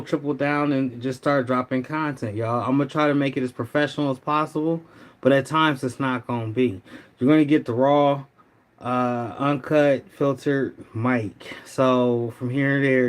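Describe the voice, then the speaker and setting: Middle-aged man, livestream host, slightly hoarse, relaxed and rambling, chats with viewers. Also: mid-range voice. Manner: laid-back, rambling, talks to viewers. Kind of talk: casual livestream chat.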